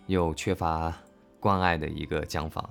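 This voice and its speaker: A male voice actor talking casually and reflectively about his work, earnest and halting, often repeating his phrases. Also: rumbling low, level tone, creaky, soft background music. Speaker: voice actor discussing an audio drama. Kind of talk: casual, reflective cast chat.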